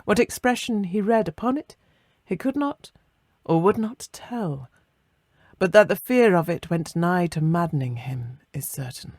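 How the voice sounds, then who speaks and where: A narrator reading a classic ghost story in a measured, literary and expressive way, letting phrases soften at the end. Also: medium pitch, no room sound, clean studio sound.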